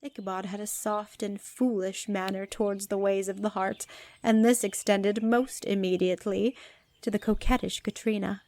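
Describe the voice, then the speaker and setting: A woman playing the formal, measured storybook narrator of an audio drama about an old village tale. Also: medium pitch, little pitch movement, dark tone, natural voice. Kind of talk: scripted literary narration.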